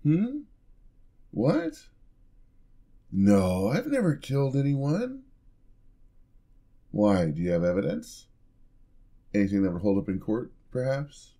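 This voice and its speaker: A man voicing a flirty yandere husband in an ASMR roleplay, smooth-talking and teasing with a playful menacing edge. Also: mid-range voice, big pitch swings, slow, pauses often, warm tone, full character voice.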